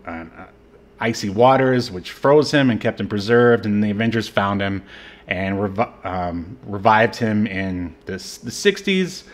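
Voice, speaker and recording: A man co-hosting a podcast, chatting casually about an old movie, rambling with lots of fillers and restarts. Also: fairly low pitch. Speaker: podcast co-host. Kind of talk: casual movie review chat.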